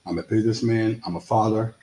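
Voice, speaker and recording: A man's voice: lowish voice, steady tone, fast, dark tone. A man in his fifties with a New York accent, a confident and upbeat political candidate guest who answers in short affirmations. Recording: echoey room with faint background noise.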